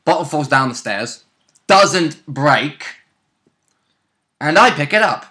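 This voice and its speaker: A man's voice: a little high, lively intonation, takes it slowly, pushed and bright. A man chatting casually as a player about a tabletop role-playing game, informally mimicking what was said.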